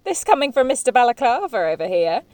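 Woman acting a sardonic, animated young Englishwoman. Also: on the high side, fast. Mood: teasing. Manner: dry, teasing, blunt. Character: sardonic, defiant young Englishwoman facing a soldier. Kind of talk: naturalistic audio drama acting.

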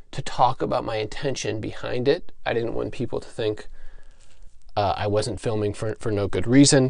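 A man with a Midwestern American accent hosting a solo comedy podcast, speaking in a halting, stammering, mock-earnest and self-important deadpan. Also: medium pitch, gravelly, no room sound, studio quality. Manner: halting, stammering delivery with repeated words. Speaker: podcast host.

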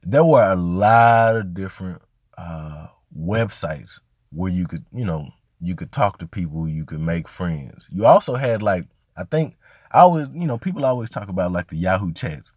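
Laid-back man, slightly hoarse, dry close mic. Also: low-pitched, animated, moderate pace, a little mellow, no room sound. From Arkansas, US. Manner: laid-back, conversational, heavy with fillers. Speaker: podcast host. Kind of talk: casual solo podcast monologue.

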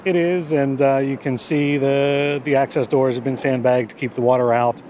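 A man's voice: medium pitch, fairly even intonation, normal speed, darkish tone, slight background noise. A male park official answering an interviewer outdoors, hesitant and evasive, with many fillers. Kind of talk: field interview. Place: outdoors in a flooded park, traffic nearby.

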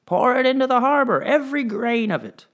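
Shrill haughty rich lady, as a man puts her on. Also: lively intonation, brisk, a bit bright. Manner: shrieking, impatient.

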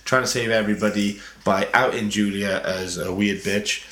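A man with a cold-roughened voice, co-hosting a casual podcast and retelling a film's plot with swearing and acted-out lines. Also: fairly low pitch, steady tone, normal speed, fairly bright tone, reverberant. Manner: loose retelling, sweary, acts out bits of dialogue. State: hoarse from a cold. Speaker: podcast co-host. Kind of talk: casual film recap chat.

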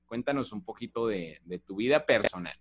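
A man hosting an interview podcast, greeting listeners warmly and asking questions with small fillers. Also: lowish voice, animated, a little fast, no room sound. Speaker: podcast host.

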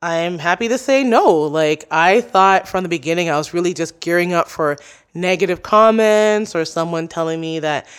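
A woman's voice: lowish voice, normal speed, smooth. A young adult woman, a podcast host being interviewed, talking casually and openly with lots of "like" and "you know.". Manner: chatty, many "like" and "you know" fillers.

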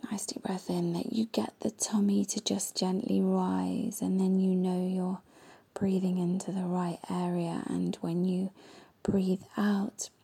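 A woman guiding a meditation in a gentle, soothing, half-whispered voice. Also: mid-range voice, vocal fry, husky. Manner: gentle, slow and soothing instructions. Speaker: meditation guide. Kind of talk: guided meditation.

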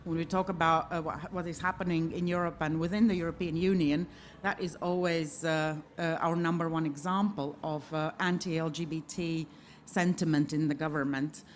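A woman from Latvia moderates a live panel, pausing often with filler sounds as she frames the discussion. Her voice sits on the lower side. The recording is a live event capture with faint noise in the background. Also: steady tone. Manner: hesitant, many filler sounds.

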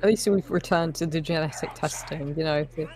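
A woman's voice: deep voice, fairly quick, darkish tone. A woman co-hosting a film podcast in casual, conversational commentary. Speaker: podcast co-host.

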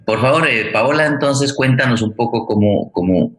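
A man hosting a live conversation, haltingly repeating words as he searches for the next one. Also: medium pitch, expressive, fairly bright tone, a little hiss or hum. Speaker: forum host. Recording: echoey room sound.

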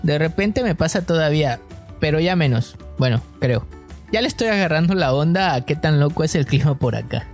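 A man with a Mexican coastal accent co-hosting a podcast, chatting casually and telling stories in a relaxed, slangy way. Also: fairly high pitch, fairly quick, faint music behind. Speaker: podcast co-host.

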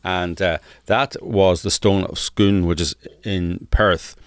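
A man's voice: low voice, steady tone, takes it slowly, clear, resonant tone, no echo, clean studio sound. A man co-hosting a podcast, telling stories casually and explaining as he goes, repeating words for emphasis. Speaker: podcast co-host. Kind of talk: casual conversational storytelling.

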